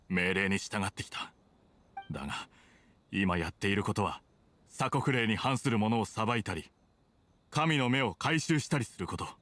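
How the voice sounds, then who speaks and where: An actor playing a stern, conflicted soldier with hidden kindness, speaking in a measured, blunt way in a video game dub. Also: male voice, lowish voice, a bit bright. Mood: troubled. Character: stern, conflicted male soldier, weary but secretly kind. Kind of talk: Japanese game dub acting.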